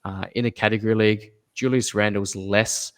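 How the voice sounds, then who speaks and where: A man co-hosting a fantasy basketball podcast, giving measured advice with fillers and repeating his main points. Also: fairly low pitch, level tone. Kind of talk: casual podcast analysis.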